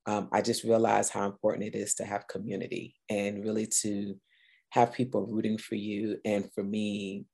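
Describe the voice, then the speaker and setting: A woman's voice: a bass voice, mellow. A middle-aged woman from Guyana hosting a podcast, talking earnestly and upbeat in a casual solo chat with some fillers. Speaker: podcast host.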